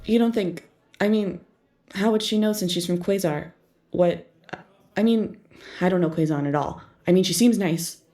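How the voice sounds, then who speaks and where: A woman's voice: on the low side, normal speed, pauses often, dark tone, lightly acted. A woman playing a young circus trainee in an audio drama, with an informal, hesitant and questioning way of talking. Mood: uneasy. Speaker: voice actor. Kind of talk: conversational audio drama acting.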